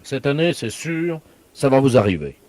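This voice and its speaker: A man playing an officious, deadpan village mayor who gives solemn, insistent warnings in a prank phone call. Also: medium pitch, normal speed, lightly acted.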